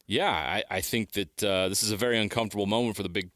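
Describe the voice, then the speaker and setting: A man's voice: on the low side, fast talker, a bit bright, no room sound. A man co-hosting a sports talk show, explaining things casually and repeating words for emphasis, on a close studio mic. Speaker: sports radio co-host. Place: radio studio. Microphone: close studio microphone.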